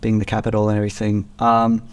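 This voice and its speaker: A man's voice: lowish voice, completely flat delivery, fast, clean tone. A man in his thirties from Spain, telling his story casually as a podcast guest. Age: 30s. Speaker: podcast guest, gym co-founder.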